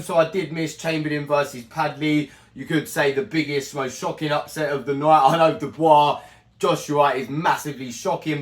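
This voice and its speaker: Hoarse, croaky man chatting about boxing. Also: fairly high pitch, echoey room. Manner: chatty, rambling, self-correcting. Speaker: boxing channel host. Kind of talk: casual fight recap monologue.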